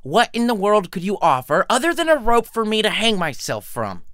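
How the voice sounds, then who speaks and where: A man's voice: sits high, very animated, normal speed, fairly bright tone, no room sound, studio quality, voice pushed up. A man voicing a heartbroken young American student in an audio story, whiny, sarcastic and melodramatic. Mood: exasperated.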